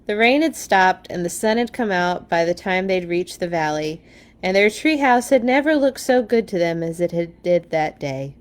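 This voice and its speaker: A woman's voice: fairly low pitch, animated, moderate pace. A woman hosting a children's read-along, reading stories expressively and dropping in casual asides.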